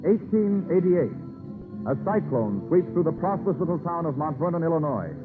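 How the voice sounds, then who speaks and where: A man's voice: on the high side, lively intonation, moderate pace, natural voice. A man narrating a 1930s radio drama as a grave, stirring, oratorical storyteller. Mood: solemn, stirring. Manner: solemn, oratorical. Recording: tinny 1930s radio recording, loud music.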